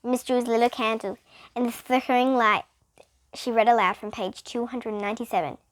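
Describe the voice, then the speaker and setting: A female reader giving an expressive, dramatic read-aloud of a children's storybook. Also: on the high side, fairly quick, dry recording. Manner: animated, dramatic reading. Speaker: reader of children's bedtime books.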